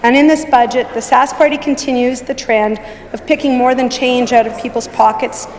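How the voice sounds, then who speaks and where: A woman with a croaky, strained voice delivers a formal opposition speech at a measured pace. Her voice sits a bit high. A long reverb from a large legislative chamber trails each phrase. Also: steady tone, a little hiss or hum. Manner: measured, deliberate, with some restarts. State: hoarse, croaky voice. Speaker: opposition member of a provincial legislature.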